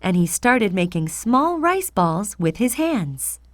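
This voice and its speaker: A woman narrating a fairy tale for children in an expressive, deliberate read-aloud manner. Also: medium pitch, no echo. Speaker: storyteller.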